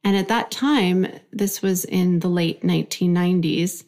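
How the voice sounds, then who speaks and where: A woman's voice: lowish voice, unhurried, a little mellow. A woman in her forties, from Iran, telling her life story reflectively in an interview. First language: Farsi. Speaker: guest. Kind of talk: conversational interview.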